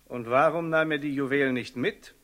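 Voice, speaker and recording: An actor playing a grave, suspicious ruler in a German radio play, speaking with measured authority. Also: male voice, medium pitch, a bit bright, lightly acted. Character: stern, commanding male ruler, grave and suspicious.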